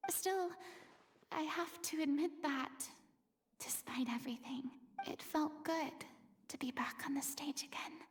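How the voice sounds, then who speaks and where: A woman voicing a proud young woman, a former stage star, in a game cutscene, with a gravelly edge and whispery asides. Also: high-pitched, measured pace. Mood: wistful. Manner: whispery asides, flustered protests.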